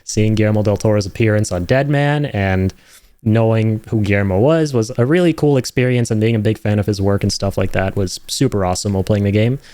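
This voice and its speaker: A man's voice: lowish voice, a little fast, warm tone, no room sound. A young man hosting a casual reaction, enthusiastic and rambling, with lots of fillers and "right?" tag questions. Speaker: host reacting to game trailers.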